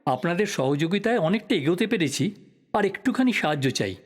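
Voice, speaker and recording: An actor playing a polite, inquisitive newspaper reporter in an audio drama, with a husky voice. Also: male voice, high voice, expressive, a little fast. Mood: earnest, courteous. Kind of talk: Bengali audio drama acting.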